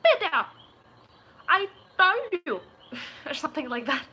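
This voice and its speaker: A woman's voice: high voice, very animated, unhurried, pushed and bright, voice pushed up, exaggerated voice. A young woman doing a comic caricature of an exasperated, scolding Indian speaker with an Indian accent. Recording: noisy home webcam audio.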